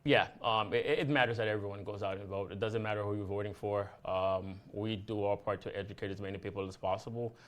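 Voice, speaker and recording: A man's voice: lowish voice, steady tone, brisk, hardly any pauses, fairly bright tone. A man from Haiti, a guest on a talk show, speaking earnestly with many ums. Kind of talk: casual chat.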